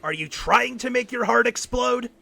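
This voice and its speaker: A man playing a loyal, worried mechanic in an audio drama, speaking earnestly and haltingly. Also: very high-pitched, creaky, bright, forceful tone, no echo, exaggerated voice. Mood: alarmed, concerned. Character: worried, loyal male mechanic friend, irreligious and wry.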